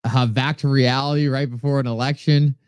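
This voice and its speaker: A man's voice: medium pitch, steady tone, fairly quick, clean tone, no echo. A man with a New England accent hosting a live stream, chatting casually, reading viewer comments aloud and thanking people by name. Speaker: livestream host. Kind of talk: casual livestream talk answering chat.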